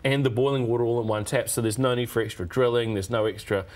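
A man in his forties with a New Zealand accent talks quickly in a mid-pitched voice. He is a TV studio guest giving a casual product demonstration, joking at his own expense. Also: steady tone, a little mellow. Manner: jokey, self-deprecating. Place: TV studio at a desk.

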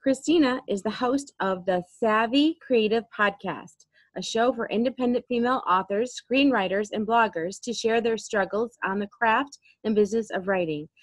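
A woman with a fairly high voice hosts a podcast interview, friendly and eager, a little halting at times. The sound is dry and close, with no room echo. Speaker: podcast host. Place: indoors, home room.

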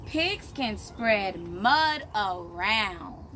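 A woman in her thirties reads aloud to small children like a preschool teacher. Her voice is bright and she takes her time, phrasing things simply and deliberately. She is recorded outside by a camera, with clear ambient noise behind her. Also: mid-range voice, lively intonation. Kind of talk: picture-book read-aloud for young children. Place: outdoors, on a patio beside plants. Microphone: camera a short distance away. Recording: clear outdoor background noise.

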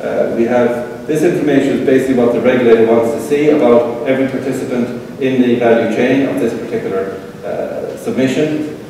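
A middle-aged man with an Irish accent giving a relaxed conference talk with a live demo, with frequent fillers, to a seated audience in an event hall. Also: medium pitch, fairly quick, few pauses, slight background noise. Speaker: tech presenter. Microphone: room pickup from far camera. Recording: roomy hall echo from far off.

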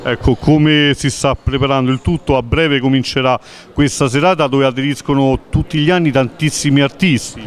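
A man's voice: medium pitch, fairly even intonation. A man in his forties with an Italian accent, a TV reporter introducing an event and asking questions on a handheld mic in a large lobby. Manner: brisk, announcing. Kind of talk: event interview. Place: large indoor lobby of a concert venue.

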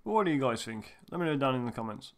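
Man, online video host, average pitch, casual commentary, addressing viewers. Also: very animated, very fast.

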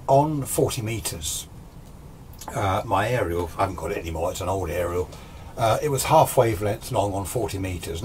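A British man in his sixties giving an informal technical explanation in a casual, rambling, self-deprecating way, as a hobbyist presenter. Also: lowish voice. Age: 60s. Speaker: amateur radio hobbyist presenter. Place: small indoor home radio workshop. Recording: steady background noise.

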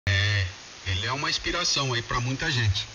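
A man's voice: medium pitch, expressive, fairly quick, bright, forceful tone. A Brazilian man in a casual, colloquial radio chat with friends, heard through a shortwave ham radio transmission. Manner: colloquial, addresses friends by name. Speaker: amateur radio operator in a group contact. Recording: muffled, hissy, very noisy shortwave radio.

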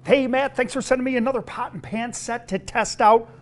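Enthusiastic middle-aged chef in a kitchen. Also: male voice, very high voice, fairly even intonation, fairly quick. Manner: enthusiastic, friendly, demonstrating pans. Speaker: chef testing cookware. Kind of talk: casual product review to camera. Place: commercial kitchen.

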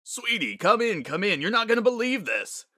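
Man playing an excitable, high-voiced pony dad. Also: normal speed, no echo, clean studio sound, full character voice. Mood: excited. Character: excitable doting pony father, welcoming and eager.

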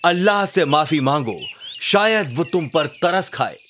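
A man's voice: a little high, expressive, measured pace, fairly bright tone, a little hiss or hum, voice pushed up. A man voicing a grave prophet in a children's cartoon, earnestly admonishing people. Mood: stern pleading. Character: grave male prophet warning sinful people. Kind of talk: cartoon story dialogue.

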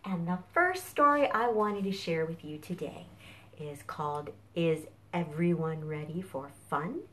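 A woman's voice: low voice, expressive, unhurried, fairly bright tone. A middle-aged woman presenting a children's storytime as host, recorded in a home kitchen a few feet from the camera. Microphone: camera a few feet away.

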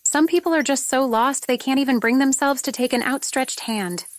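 A woman playing a calm, reassuring, kindly countryside widow in an acted fan fiction audio drama. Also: high-pitched, moderate pace, dry recording, clean studio sound, lightly acted. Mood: gentle, serious.

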